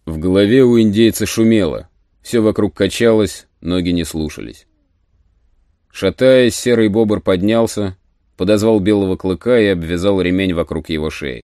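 A man narrating an adventure audiobook in a measured, literary storytelling manner. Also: low-pitched, warm tone, no echo, clean studio sound.

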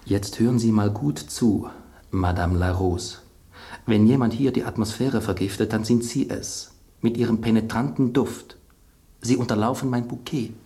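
Guy doing a snobby suspicious wine character, theatrical, deep-ish dark voice, radio play. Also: normal speed, lightly acted. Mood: indignant. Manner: pompous, theatrical, hushed dramatic asides. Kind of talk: German radio drama acting.

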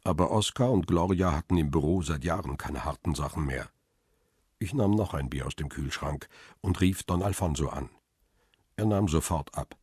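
Uneasy photographer narrating, very deep husky voice. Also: male voice, moderate pace, no echo, studio quality. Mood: reflective. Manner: quiet, introspective. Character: middle-aged photographer, uneasy and reflective. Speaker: narrator and protagonist. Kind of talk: first-person radio drama narration.

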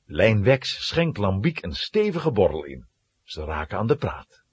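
A male narrator with a very husky, breathy voice tells a children's adventure. His pitch swings dramatically from phrase to phrase, and the sound is close and dry. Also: big pitch swings, a little slow, no echo.

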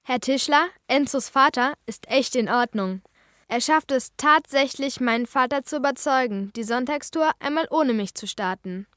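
An actress playing a lively, cheeky girl detective in a children's radio play, chatty and expressive. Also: high-pitched, moderate pace, dry recording, clean studio sound. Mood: chatty, cheerful.

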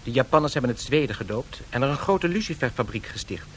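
An actor playing a shrewd, eager business associate in a radio drama, speaking excitably. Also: male voice, medium pitch, fast, a little hiss or hum, natural voice.

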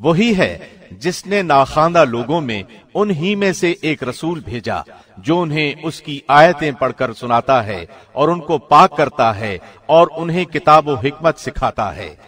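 A man reading a scripture translation like a radio presenter, formal, solemn and measured. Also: fairly high pitch, animated, a bit bright.

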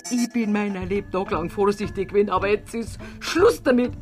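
An actress playing a blunt, stubborn, grieving middle-aged aunt with a Bavarian accent in a naturalistic radio drama. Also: female voice, mid-range voice, a little fast, faint music behind, natural voice. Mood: bitter, accusing. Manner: blunt, insistent, wounded. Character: stubborn, indignant, grieving aunt, plain small-town Bavarian woman.

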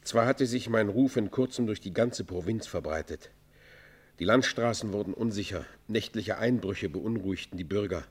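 Low-voiced, repentant robber chieftain. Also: male voice, normal speed, slightly rough. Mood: weary, bitter. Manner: solemn, declamatory, formal literary diction. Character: repentant robber chieftain confessing, anguished, proud, eloquent. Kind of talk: classic German radio play acting, literary monologue.

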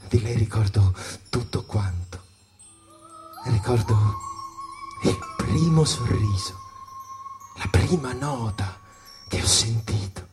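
A smitten, gin-soaked saxophonist reminisces wistfully in a stage monologue. He talks very slowly, lingering over phrases, with jazz clearly playing behind him. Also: lowish voice, darkish tone. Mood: wistful wonder. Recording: music bed under the voice. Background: jazz music.

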